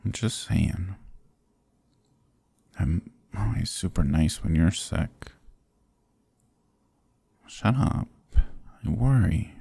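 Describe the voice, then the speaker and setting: A man voicing a stubborn but affectionate sick young boyfriend in an intimate ASMR roleplay, soft, husky and drowsy, murmuring close to the mic. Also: low-pitched, animated, drawn-out words, long pauses, no room sound, exaggerated voice. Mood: teasing, sleepy. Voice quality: husky, gravelly, breathy. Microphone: close, dry microphone.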